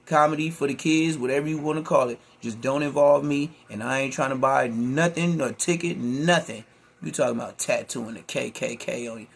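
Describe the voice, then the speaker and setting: A man's voice: medium pitch, brisk, gravelly, slightly rough. A man hosting a reaction video, talking in laid-back slangy street talk. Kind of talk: casual commentary.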